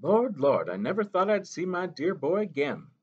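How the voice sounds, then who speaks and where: A man's voice: high-pitched, very animated, normal speed, a little mellow, slightly rough, pitched above the performer's own voice, full character voice. A man voicing a devout older woman, a doting mother who speaks in a fervent, lilting way. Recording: dull lo-fi recording.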